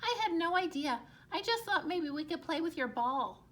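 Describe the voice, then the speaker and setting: Woman voicing a tiny, very high storybook bird. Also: animated, normal speed, fairly bright tone. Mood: apologetic. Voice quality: little voice. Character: small, friendly, playful female blue bird.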